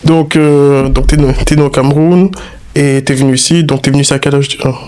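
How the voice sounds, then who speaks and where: A man's voice: mid-range voice, steady tone, fairly quick, a little mellow, smooth. A man hosting a casual interview podcast, playful and teasing, with many hesitations. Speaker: podcast host.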